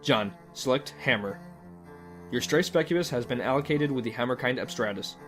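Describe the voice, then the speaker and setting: A male narrator reads a scripted second-person story with a measured, dryly formal delivery. His voice sits at a middle pitch, with soft music playing behind it.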